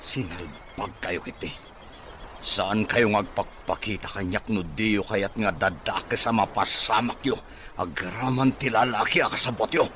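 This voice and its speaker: A very low-voiced, wealthy man speaks with brusque scorn and deep distrust, in the manner of a radio drama. Clear noise sits behind his voice. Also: unhurried, bright, forceful tone. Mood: angry, threatening. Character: bitter, wealthy, distrustful grown son.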